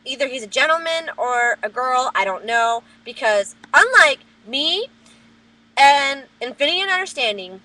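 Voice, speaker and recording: Loud, high-pitched woman ranting. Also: lively intonation, moderate pace, no echo, low-quality recording. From New Jersey. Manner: loud, profane, heated ranting. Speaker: vlogger. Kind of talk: webcam rant. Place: at work. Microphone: webcam.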